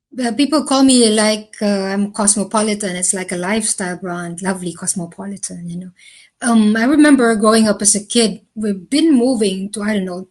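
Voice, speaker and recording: A woman answering interview questions in a thoughtful, earnest way, with slow, deliberate phrasing. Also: mid-range voice. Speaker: interview guest.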